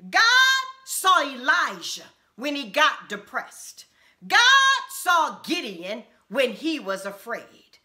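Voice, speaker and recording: A middle-aged woman pastor preaching a sermon from a small room at home, with impassioned repeated refrains and drawn-out emphasis. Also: high-pitched, pushed and bright.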